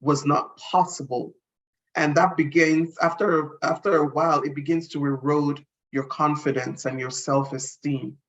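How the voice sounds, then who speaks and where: A woman's voice: low voice, steady tone, a little slow, dull audio. A middle-aged woman, a guest speaker, answering interview questions earnestly and reflectively, often restarting her sentences.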